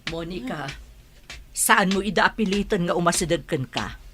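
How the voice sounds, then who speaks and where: A woman's voice: on the low side, expressive, normal speed, noisy background, lightly acted. A woman acting a stern, scolding aunt in an Ilocano radio drama. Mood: stern, reproachful.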